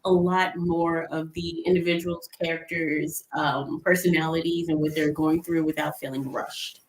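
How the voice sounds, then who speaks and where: A woman's voice: low voice, level tone, moderate pace, poor audio. A woman co-hosting a casual movie review, talking informally with some swearing. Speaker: podcast co-host.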